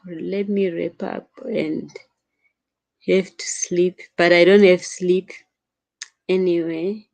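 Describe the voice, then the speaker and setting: Young African woman vlogger, unhurried lowish voice, chatty live stream on her phone. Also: a little mellow. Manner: slow, chatty, laughs often, addresses viewers as sister. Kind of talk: late-night live stream chat, answering viewer comments.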